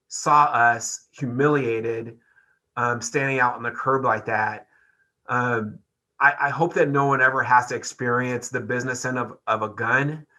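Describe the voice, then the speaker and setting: A man with a bright, forceful voice of medium pitch talks as a guest in a podcast discussion. He reflects on personal experience deliberately and emphatically, addressing the listener directly. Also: poor audio. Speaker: panel guest.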